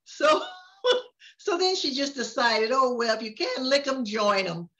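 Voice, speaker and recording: An older woman reading to children and chatting gently about a picture book, often laughing softly. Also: on the high side, sing-song, measured pace. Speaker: storytime reader. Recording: dull lo-fi sound.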